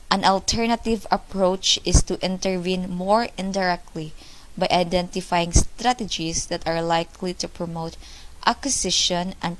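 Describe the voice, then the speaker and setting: A woman's voice: fairly low pitch, low-quality recording. A young woman student giving a class report, explaining each point again with simple examples. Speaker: student presenter.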